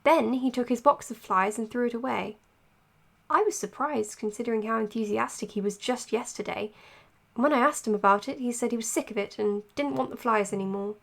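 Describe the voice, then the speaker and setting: A woman playing an earnest, dutiful psychiatrist in an audio drama, speaking in a measured, precise, professional way. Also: on the high side, gravelly, a bit bright. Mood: measured, thoughtful. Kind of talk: audio drama acting as spoken case notes and dialogue.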